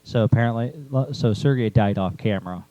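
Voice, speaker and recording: A man's voice: fairly low pitch, a little fast, dark tone, no room sound, highs cut off. A man co-hosting a podcast, chatting casually as he discusses a story with others. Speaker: podcast host and author.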